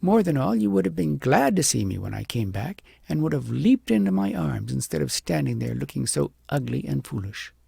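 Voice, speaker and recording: A man voicing a stern wise woman in a storybook, scolding a spoiled child in a measured, commanding, admonishing way. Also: on the high side, sing-song, creaky, mellow, husky, no echo, full character voice. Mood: reproachful.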